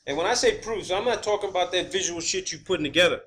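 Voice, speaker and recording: A man hosting a video, giving a rambling, unscripted explanation of his own theories in a halting, emphatic, blunt and casual way, repeating key words. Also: high voice, expressive, a bit bright. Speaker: video channel host. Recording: clear background ambient noise.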